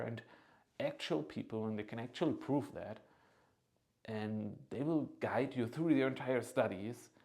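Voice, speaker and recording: A man in his thirties giving an informal, earnest promotional pitch as a consultant, persuasive and often checking in with the listener. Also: mellow. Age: 30s. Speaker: agency founder and study consultant.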